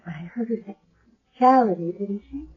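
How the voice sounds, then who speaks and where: An actress playing a timid, bewildered young woman with amnesia in a 1970s radio drama, speaking in a halting, hesitant way. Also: medium pitch, breathy edge, faint music behind. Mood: tentative. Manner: hesitant, halting, repeating words. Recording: dull lo-fi old radio broadcast.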